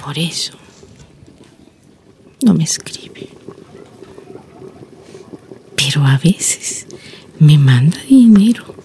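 An actress playing a lonely, wistful old blind village grandmother in a radio drama monologue, breathy and creaky, reflecting with sighs and long pauses. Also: deep voice, expressive, warm tone, slight background noise, exaggerated voice. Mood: sad, reminiscing. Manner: slow reflective storytelling, sighing interjections, long pauses.

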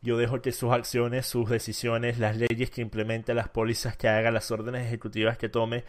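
A man's voice: medium pitch, monotone, moderate pace. A man from Venezuela hosting a debate, arguing assertively and emphatically. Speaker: debate host. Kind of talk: casual debate.

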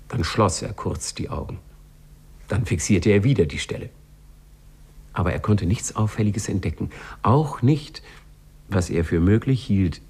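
The storyteller of an old radio drama. His voice is fairly low and husky, and he tells the scripted tale precisely, with grave irony. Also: darkish tone. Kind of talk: scripted radio play narration.

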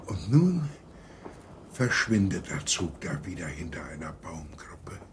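An actor playing a courteous, effusive gentleman with a formal, gallant manner in a German radio drama. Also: low-pitched, sing-song, takes it slowly, a little hiss or hum, heavily acted. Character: courteous, effusive gentleman proudly showing off his view.

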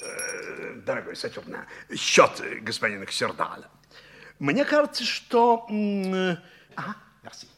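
An actor playing a jovial, excitable banker and fond godfather in a 1960s Soviet radio play, theatrical and full of exclamations, with a husky voice. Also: high-pitched, slow, a little hiss or hum, heavily acted. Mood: businesslike. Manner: theatrical, exclaiming, chuckling, swooping between murmurs and cries.

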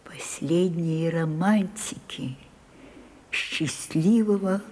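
A woman playing a grand, nostalgic older actress in a radio drama, with measured, theatrical delivery and dramatic pauses. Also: on the low side, darkish tone. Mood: wistful, grand. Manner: measured, dramatic pauses, falls to a breathy whisper. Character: Sarah Bernhardt, grand wistful ageing actress, theatrical and nostalgic. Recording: 1980s radio play with faint music.

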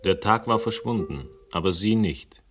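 Actor as a wry, low-voiced investigator in radio crime drama. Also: male voice, expressive, normal speed, music in the background, lightly acted. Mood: somber, measured. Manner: dry, ironic, articulate. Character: shrewd, wry male investigator, dryly teasing, persistent.

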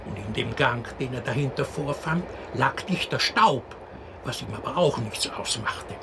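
Wry radio-drama storyteller, unhurried and very expressive, clear background noise. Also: male voice, mid-range voice. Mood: wry storytelling. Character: wry, unhurried male teller of an absurd fairy tale. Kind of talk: fairy-tale narration in a radio drama.

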